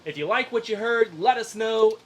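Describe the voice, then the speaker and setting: A man's voice: high-pitched, normal speed, slightly rough. A man hosting a casual podcast discussion about comic books. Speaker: podcast host.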